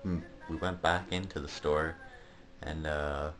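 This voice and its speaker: A man's voice: rumbling low, measured pace, soft background music. A young man giving a casual, rambling video diary in a hesitant way. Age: teenager or young adult. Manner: hesitant, rambling delivery with flat tone. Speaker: vlogger. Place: small bedroom at home, indoors. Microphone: camera held at arm's length.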